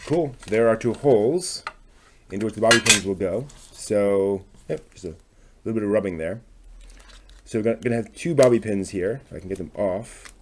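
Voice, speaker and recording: A man's voice: lowish voice, moderate pace, very noisy. A man talking casually through a hands-on demonstration on a live stream, tentative and thinking aloud, often trailing off. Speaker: host of a live craft stream.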